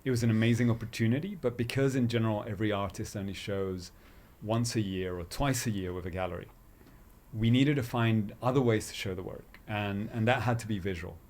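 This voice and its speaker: A man's voice: lowish voice, a little fast, darkish tone. A man from Chile, raised in London, talking conversationally as an artist guest in an interview.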